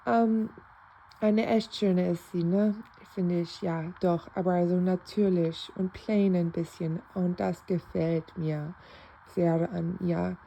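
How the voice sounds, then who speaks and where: A woman's voice: lowish voice, steady tone, slow pace, creaky, mellow, a little hiss or hum. A woman rambling casually in a spiritual vlog, repeating herself and restarting often, speaking German with an American accent. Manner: switches between English and German. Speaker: self-described novice clairvoyant.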